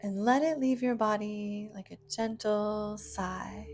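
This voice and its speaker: Very slow, soothing woman guiding meditation. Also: mid-range voice, fairly even intonation, faint music behind. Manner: soothing, gentle, unhurried with long pauses. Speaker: meditation guide. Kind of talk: guided imagery meditation, scripted narration.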